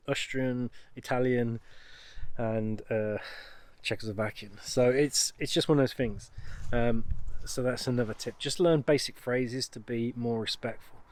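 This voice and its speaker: Casual English male vlogger, mid-pitched, chatty and rambling, cheerful. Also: darkish tone. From United Kingdom. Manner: rambling, many ums and restarts, upbeat. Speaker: van life vlogger. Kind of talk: casual vlog talk to camera.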